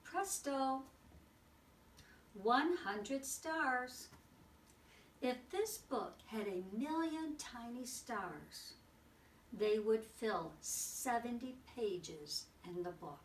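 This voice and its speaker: A woman reads a children's picture book aloud in an unhurried, fairly high voice. She is expressive, with exclamations and dramatic pauses. The sound is roomy, as if the mic is some way off. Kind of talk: read-aloud storytelling for children. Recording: roomy, distant mic with faint noise.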